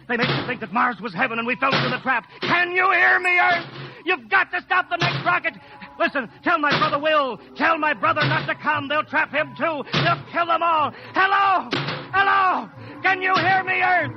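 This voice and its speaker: Skeptical, desperate spaceship captain, old radio. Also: male voice, very high voice. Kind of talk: 1950s radio drama acting. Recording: muffled old 1950s radio recording, music.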